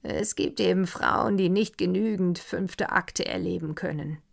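A woman's voice: lowish voice, measured pace, creaky, full character voice. Woman reading a smug, superior count. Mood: dry. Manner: calm, dry and superior. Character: smug, superior nobleman, male.

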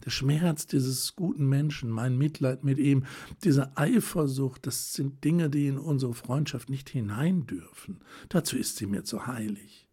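A man's voice: medium pitch, slightly rough, voice pushed up, natural voice. A man voicing a fervent, rapturous baroness. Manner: breathless, hurried and rapturous. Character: fervent, ecstatic aristocratic lady, a baroness. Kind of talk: audiobook reading.

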